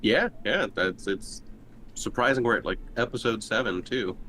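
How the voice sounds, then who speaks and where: A man's voice: lowish voice, lively intonation, normal speed, bright, forceful tone, rough recording. A laid-back man chatting casually as a player on a tabletop game show. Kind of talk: casual chat. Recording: faint background noise.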